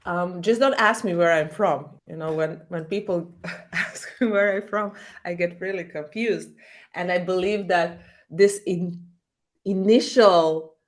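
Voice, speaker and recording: A woman from Croatia speaking as a podcast guest, animated and full of fillers and restarts. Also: on the low side. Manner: animated, halting non-native English with many fillers. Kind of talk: casual interview.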